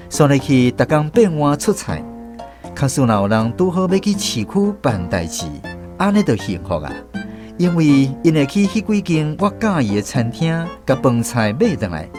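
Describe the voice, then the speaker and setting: A man with a Taiwanese accent playing a reflective film director who narrates his memories in a radio drama. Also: fairly high pitch. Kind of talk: scripted first-person radio drama narration. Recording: music bed under the voice. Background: instrumental music.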